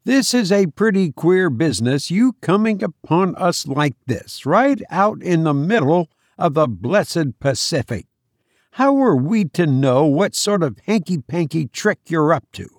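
A man's voice: on the high side, animated, unhurried, no echo, studio quality, voice pushed up. A man voicing a bold, practical sailor who speaks bluntly and colloquially, calling out in an audiobook. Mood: challenging. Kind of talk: audiobook character acting.

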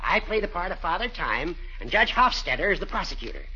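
An actor playing a fussy, pompous older judge who talks formally and testily, in a 1940s radio comedy style. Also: male voice, high voice, very animated, a little fast, bright, forceful tone, heavily acted. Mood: pompous, jovial. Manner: formal, wordy, testy. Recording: dull, noisy 1940s radio recording.

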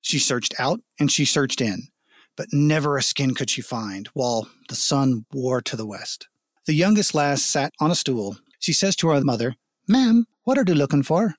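A man's voice: medium pitch, moderate pace, no room sound. Man telling a folk tale, very dry sound. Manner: dramatic, with explanatory asides. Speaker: storyteller. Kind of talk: expressive scripted folk tale narration.

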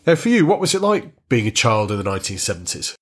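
Husky middle-aged host. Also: male voice, fairly low pitch, very animated, fast talker. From Warrington, North West England. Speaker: podcast host. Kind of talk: hosting and interviewing.

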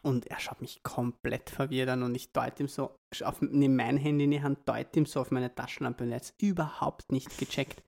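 A man with a Viennese Austrian accent talks loosely on a podcast, his mid-range voice full of gravelly fry. He drifts through long, meandering stories and breaks into laughter mid-sentence. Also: mid-range voice, steady tone, normal speed, hardly any pauses, a little mellow. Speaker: podcast co-host. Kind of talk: casual chat.

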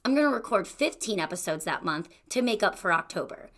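Bright, gravelly young woman podcaster. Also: mid-range voice, lively intonation, brisk. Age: young adult. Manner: chatty and encouraging, with fillers like "you know" and "like". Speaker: podcast host. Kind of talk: casual solo podcast monologue.

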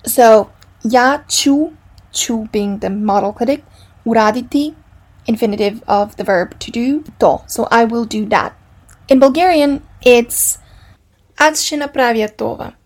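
Woman hosting her podcast, kinda high voice, takes her time, thoughtful. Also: measured pace. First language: Serbian. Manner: thoughtful, with restarts and soft laughs. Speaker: podcast host. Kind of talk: solo educational podcast.

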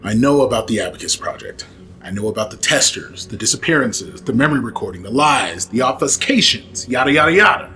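A man's voice: medium pitch, animated, a little fast, sharp tone. A man playing a cocky, unhinged rogue outlaw in a sci-fi audio drama, sardonic, menacing and theatrical. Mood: cold, demanding. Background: music.

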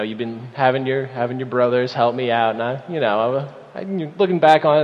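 A man playing a cocky, vengeful pro wrestler, delivering a sarcastic, menacing promo with halting repetitions. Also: mid-range voice, close to an everyday voice. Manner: mocking, menacing, halting with repeated words. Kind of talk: rambling wrestling promo with profanity. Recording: dull lo-fi audio in echoey hall.

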